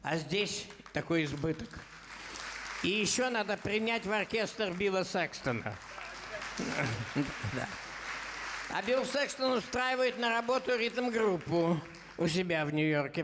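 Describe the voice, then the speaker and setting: A man's voice: fairly high pitch, a bit bright, a little hiss or hum. An older man hosting a jazz concert from the stage, joking with the audience in a wry, conversational way. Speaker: concert host and jazz critic. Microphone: stage microphone. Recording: hall reverb with audience.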